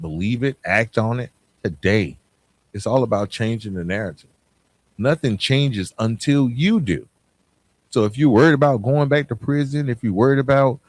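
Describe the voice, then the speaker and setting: A man's voice: on the low side, no room sound. A man hosting a solo motivational podcast, speaking in an earnest, emphatic way with frequent check-ins to the listener. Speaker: podcast host.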